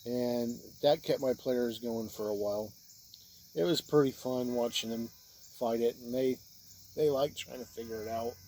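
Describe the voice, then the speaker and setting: Male reviewer, mid-pitched, plain-spoken with halting short phrases, informal game-book review, audible cricket-like background noise. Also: steady tone, dark tone, rough recording. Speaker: reviewer of tabletop role-playing books, game master.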